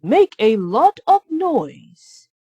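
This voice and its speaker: A woman's voice: high-pitched, big pitch swings, very slow, mellow, slightly rough, no echo, lo-fi sound, voice pushed up, heavily acted. A woman voicing an eager, plucky little male mouse from a children's storybook, exclaiming with urgency. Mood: determined.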